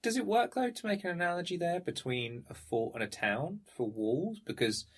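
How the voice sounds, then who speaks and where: A man's voice: sits high, expressive, normal speed. A man acting as interviewer in an informal academic discussion, questioning and probing the points being made.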